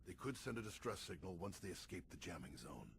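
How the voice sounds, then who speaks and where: A very low, gravelly old Jedi master from a video game cutscene. He speaks with patient, measured solemnity, like a mentor teaching a pupil. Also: very animated, slight background noise, full character voice. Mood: calm, practical. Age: older.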